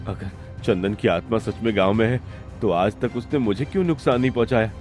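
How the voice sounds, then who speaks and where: A man's voice: fairly low pitch, brisk, a little mellow, faint music behind, natural voice. A man playing a greedy, suspicious village father in a cartoon story. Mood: worried.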